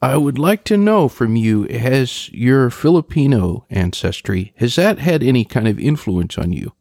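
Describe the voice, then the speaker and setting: Male interview host, mid-pitched, lively melodic delivery, conversational questioning. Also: a little slow, gravelly, no echo, studio quality.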